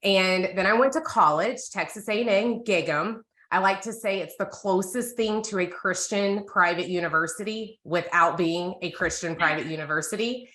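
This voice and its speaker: Bright American woman in an echoey room. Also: mid-range voice, normal speed, few pauses. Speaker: co-host of a women's Bible reading group. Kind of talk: candid, casual personal testimony.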